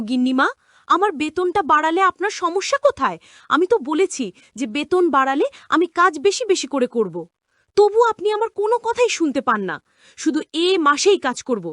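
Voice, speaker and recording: An outspoken young housemaid from a cartoon drama, voiced by a woman. She complains emphatically in a very high voice with big swings of pitch. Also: fairly bright tone, dry recording, studio quality. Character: hard-working young housemaid, outspoken and insistent.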